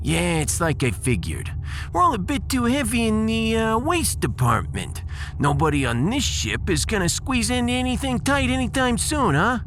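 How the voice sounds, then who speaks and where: A cocky working-class spaceship engineer talks in brash New slang. The delivery is comic audiodrama, wildly animated in pitch. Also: male voice, moderate pace, a little hiss or hum, heavily acted. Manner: brash, colloquial wise-guy talk.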